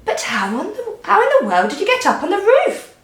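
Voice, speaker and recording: A woman's voice: extremely high pitch, wildly swooping pitch, fairly quick, pitched above the performer's own voice, exaggerated voice. A woman reading a storybook aloud, voicing a bewildered mother who stammers in shock. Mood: stunned. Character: flustered stammering young mother. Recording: roomy echo.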